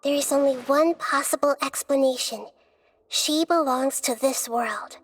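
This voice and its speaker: A woman voicing a gentle, thoughtful young-seeming goddess of wisdom in a game cutscene, explaining things calmly and carefully. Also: sits high. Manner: calm, measured, explanatory.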